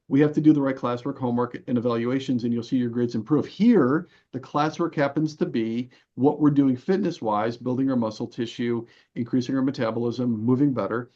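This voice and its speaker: A man's voice: mid-range voice, darkish tone. A man giving explanatory interview talk as a guest coach, in a didactic, emphatic manner with rhetorical questions. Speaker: podcast guest, health and fitness coach. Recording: dull, band-limited audio.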